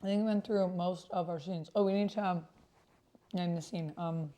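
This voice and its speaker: A woman's voice: lowish voice, steady tone, normal speed, dark tone. A woman streamer chatting casually with viewers, murmuring bits aloud as she types at a keyboard. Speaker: streamer hosting an actual play. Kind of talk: casual livestream chat.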